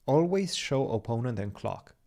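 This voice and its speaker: A man's voice: mid-range voice, big pitch swings, normal speed, warm tone. A young man whose first language is Romanian, co-hosting a chess stream, chatting casually with viewers and thinking aloud over moves. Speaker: chess podcast co-host reading chat. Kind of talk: casual livestream chat with game commentary.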